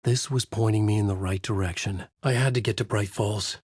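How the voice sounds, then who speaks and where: An actor playing a haunted, desperate horror writer, narrating in a brooding, intense noir style for a video game. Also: male voice, fairly low pitch, fairly even intonation, brisk, a little mellow, slightly rough, no echo, studio quality. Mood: brooding, grave. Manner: brooding, intense, terse delivery. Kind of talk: dramatic first-person noir narration.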